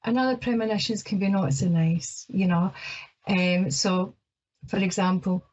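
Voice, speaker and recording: A woman's voice: medium pitch, fairly even intonation, measured pace. A middle-aged woman with an Ayrshire Scottish accent hosting a live radio show, chatting nervously with lots of fillers. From Scotland. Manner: nervous, warm-hearted rambling with many fillers. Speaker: radio show host, psychic. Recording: dull lo-fi broadcast sound.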